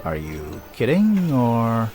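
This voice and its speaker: A man's voice: medium pitch, wildly swooping pitch, slow pace, mellow. An actor playing a flamboyant, boastful male adventurer in a video game cutscene, theatrical and teasing, with music behind him. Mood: wry, playful. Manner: theatrical, teasing, self-satisfied.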